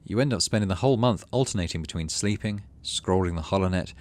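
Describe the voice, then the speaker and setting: A man hosting a gaming video, reading story text aloud and adding low-key, muttered asides in casual commentary. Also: quick pace, darkish tone. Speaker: gaming channel host.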